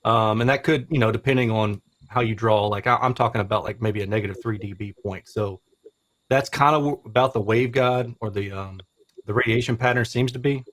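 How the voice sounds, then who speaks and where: A man's voice: lowish voice, fairly quick, fairly bright tone. A man in his forties hosting a casual technical interview, informal and given to conversational asides. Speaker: host, interviewer.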